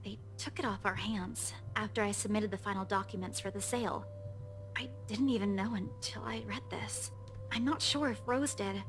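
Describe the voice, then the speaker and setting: A woman's voice: medium pitch, fairly even intonation, normal speed, slight background noise, close to an everyday voice. An actress playing an earnest, bluntly teasing young woman in a visual novel game. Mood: guarded, troubled.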